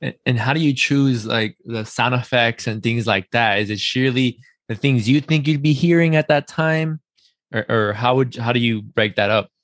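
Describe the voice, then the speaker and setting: Male podcast host, mid-pitched, informal and easily impressed, chatting and asking questions, dry recording. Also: moderate pace, fairly bright tone, dry recording. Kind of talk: casual chat, interjecting and asking questions.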